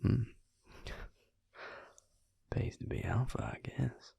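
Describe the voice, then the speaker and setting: A man playing an affectionate, laid-back vampire mate in an intimate roleplay audio, speaking in a breathy, gravelly murmur with tender, hesitant pauses. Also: mellow, no echo, lightly acted. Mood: amused, curious.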